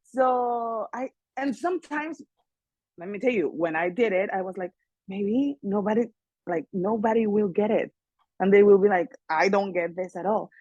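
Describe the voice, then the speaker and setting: A woman from Mexico with a Mexican Spanish accent, speaking English as a second language, chatting in an interview in an animated, earnest way with frequent laughter. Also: mid-range voice, normal speed, no room sound, highs cut off. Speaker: guest singer and actress. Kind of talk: casual interview chat.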